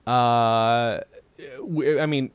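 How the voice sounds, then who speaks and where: A man's voice: medium pitch, steady tone, drawn-out words, clean tone, dry recording, dull audio. A man from upstate New York with an American accent, chatting enthusiastically and a bit ramblingly as a podcast host. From upstate New York, United States. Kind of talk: casual interview chat.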